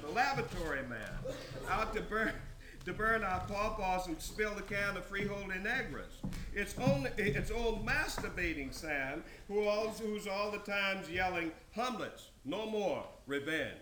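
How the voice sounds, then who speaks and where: A man performs a blustering, indignant good old boy from a storybook tale. His voice rides high and forceful, swinging widely as he exclaims in folksy, rambling bursts. The room rings with echo. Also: lively intonation, a little slow. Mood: excited, indignant. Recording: roomy echo, some background noise.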